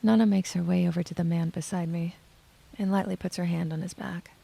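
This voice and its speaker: A woman acts out a lonely young woman narrating an audio drama in the first person. Her soft voice is heavy with fry and mellow in tone, with a husky, breathy edge and a hushed, introspective manner. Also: fairly low pitch, fairly even intonation, brisk, exaggerated voice. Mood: subdued, tearful. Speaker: narrator and lead character.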